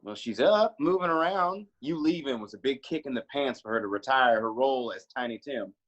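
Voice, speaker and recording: A man's voice: medium pitch, expressive, brisk, fairly bright tone, muffled recording. A man playing a teasing, affectionate, folksy family friend in a screenplay table read. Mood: teasing, chiding. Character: sassy, teasing, motherly family friend named Rufus.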